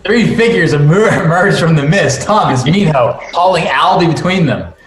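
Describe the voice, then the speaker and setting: A man's voice: on the high side, lively intonation, normal speed, crowd noise. A man reading stage directions aloud as screenplay narration at a cast table read. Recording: echoey room.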